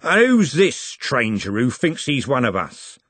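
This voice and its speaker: A man's voice: medium pitch, sing-song, unhurried, a bit bright, slightly rough, no room sound, pitched above the performer's own voice, heavily acted. A man voicing a menacing male street gang leader in a storybook, with a blunt, mocking, sneering manner. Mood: suspicious.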